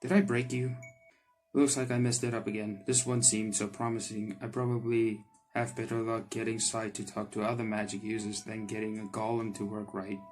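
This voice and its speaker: A man's voice: lowish voice, flat delivery, normal speed, a little mellow, lightly acted, poor audio. A man voicing a flustered, kind-hearted young male magician from a game, hesitant and apologetic, with music behind. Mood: dejected, then determined. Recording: background music under the voice.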